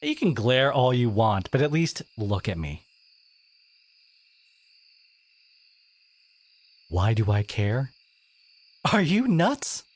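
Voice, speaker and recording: An actor plays an abrasive teenage school bully with a hidden caring streak in an audio roleplay. The delivery swings widely in pitch, exasperated and confrontational. Words land with emphasis, speaking straight to the listener. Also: sing-song, unhurried. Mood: indignant, defensive. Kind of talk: dramatic audio roleplay monologue addressed to listener.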